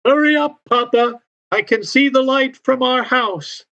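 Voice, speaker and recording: A man voicing an excited young boy who calls eagerly to his father, in a storybook reading. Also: very high-pitched, unhurried, dull audio, pitched above the performer's own voice, full character voice. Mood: excited, calling.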